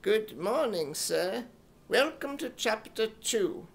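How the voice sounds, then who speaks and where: A man voicing a doddery old pawnshop keeper with a drawn-out, quavering manner and a put-on British accent, in radio comedy style. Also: high-pitched, expressive, creaky, slight background noise, heavily acted. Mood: polite, doddery. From United States. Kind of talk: 1950s radio comedy acting.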